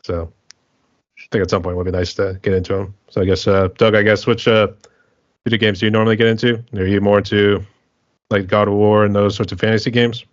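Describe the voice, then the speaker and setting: A man's voice: on the low side, level tone, fast talker, dry recording, highs cut off. An American man hosting a podcast, asking loose, rambling questions in a casual chat. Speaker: podcast host.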